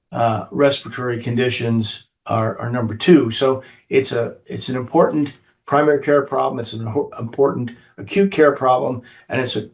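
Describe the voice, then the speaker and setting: Croaky-voiced man lecturing, slightly distant. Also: medium pitch, darkish tone. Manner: halting, repeats words. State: hoarse from a cold. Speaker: physician speaker. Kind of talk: webinar lecture.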